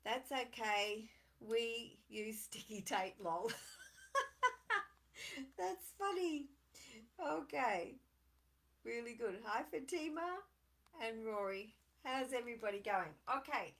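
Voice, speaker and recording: A woman's voice: fairly high pitch, expressive, slow pace, pauses often, fairly bright tone. A woman hosting a live children's craft session, chatty and encouraging as she talks straight to young kids. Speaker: children's storytime host. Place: at home on a live video stream.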